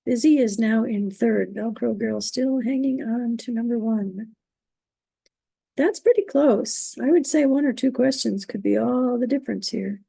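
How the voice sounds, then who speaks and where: A woman moderating an online trivia quiz, reading out questions and standings, who is losing her voice and keeps clearing her throat. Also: on the high side, dark tone, no echo, rough recording. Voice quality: gravelly, husky. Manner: upbeat, reading standings, halting on invented words.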